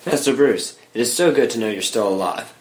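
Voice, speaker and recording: A man's voice: medium pitch, expressive, a little fast, close to an everyday voice. Man acting a dry, courteous older butler, echoey. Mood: dry, caring. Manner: formal, dryly witty. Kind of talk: screenplay table read acting. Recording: echoey room under score music.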